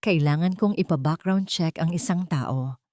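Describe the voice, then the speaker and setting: A woman voicing a calm, doubtful, wealthy businessman in a narrated story. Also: low voice, moderate pace, no room sound, studio quality, lightly acted. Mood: guarded. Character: wary, calm, wealthy businessman, arrogant and shrewd.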